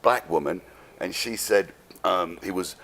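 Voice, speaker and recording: A man from London with a London English accent tells stories casually as an interview guest, rambling and halting in a streetwise, sweary way. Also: deep voice, fairly bright tone, slight background noise. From London, England.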